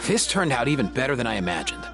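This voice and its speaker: A man's voice: medium pitch, sing-song, quick pace, creaky, over music. A man voicing a kindly, earnest young healer in a video game. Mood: grateful, encouraging. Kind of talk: video game character acting.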